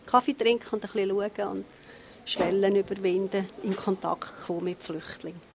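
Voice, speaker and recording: A woman's voice: mid-range voice, fairly even intonation, moderate pace, creaky. Female interviewee, Swiss German accent, unscripted explanations, mid-range voice, some background noise. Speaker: managing director of a community meeting place. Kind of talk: spontaneous interview answers.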